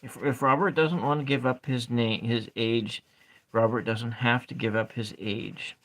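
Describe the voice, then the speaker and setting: A man with a calm voice chatting softly with viewers while he draws and colors tarot cards, trailing off and restarting as he works. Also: unhurried, low-quality recording. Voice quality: calm speaking voice. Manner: quiet, low-key chatting with viewers. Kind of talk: soft-spoken ASMR art livestream chat.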